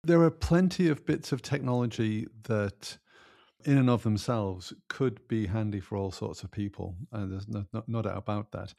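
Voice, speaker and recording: A man's voice: lowish voice, normal speed, gravelly, mellow. A man co-hosting a podcast, stating his view emphatically and firmly, with restarts and asides. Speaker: podcast co-host. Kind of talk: casual podcast conversation.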